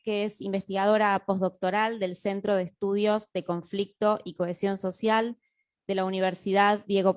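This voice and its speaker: A woman, a university lecturer, giving a formal, measured introduction as a panel moderator with frequent hesitations. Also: medium pitch.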